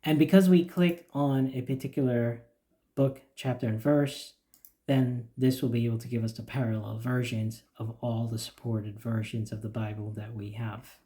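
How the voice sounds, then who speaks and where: A man explaining the features of a church website in a steady, plain walkthrough with occasional fillers. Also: mid-range voice, moderate pace. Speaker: church website developer giving a demo. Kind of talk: screen-recorded software walkthrough.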